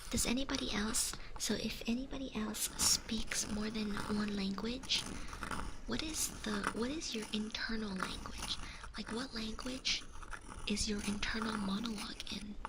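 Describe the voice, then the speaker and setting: A young woman whispers softly and slowly into a close, sensitive microphone, her breathy voice sitting a little high. She talks gently with her viewers, greeting them and trailing off into small restarts. A loud, steady hiss sits underneath the recording. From United States. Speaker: ASMR streamer. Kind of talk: whispered ASMR live stream chat. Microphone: close sensitive ASMR microphone.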